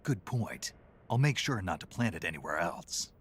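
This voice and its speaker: An actor playing a gruff, stoic special agent in a video game, terse and gravelly. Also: male voice, big pitch swings, moderate pace, a bit bright, full character voice. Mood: terse, focused. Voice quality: very gravelly, husky. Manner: terse, low-key military delivery. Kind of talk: video game cutscene acting.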